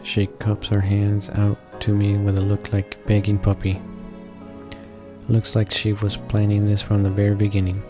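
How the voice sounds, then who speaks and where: Low, monotone man reading a game aloud. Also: over music. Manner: halting reading, stumbling over words and correcting himself. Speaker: gaming video creator reading a visual novel aloud. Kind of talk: casual read-along narration with asides.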